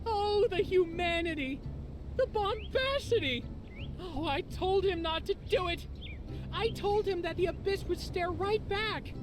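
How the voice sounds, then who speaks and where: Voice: extremely high pitch, a little slow, over music, low-quality recording. An actor playing a panicked, melodramatic, devout townsperson in a video game, exclaiming in alarm.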